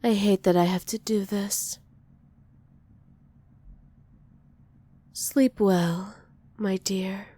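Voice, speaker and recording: A woman playing a dominant, possessive villain who dotes on a captive, softly menacing and teasing in an ASMR roleplay. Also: medium pitch, steady tone, takes it slowly. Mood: regretful, protective. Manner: soft, teasing menace with breathy whispered endearments.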